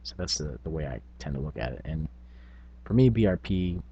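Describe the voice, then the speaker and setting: A man's voice: very deep voice, big pitch swings, fairly quick, dark tone, muffled recording, slight background noise. A man hosting a tabletop gaming vlog, talking casually in a rambling, self-effacing, unscripted way. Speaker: tabletop gaming video host.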